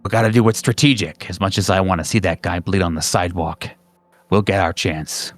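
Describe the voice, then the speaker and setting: A man voicing a cold agent team commander in a tabletop horror game, measured, profane and relishing violence. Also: low-pitched, steady tone, dry recording, pitched below the performer's own voice, lightly acted. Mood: commanding, sinister.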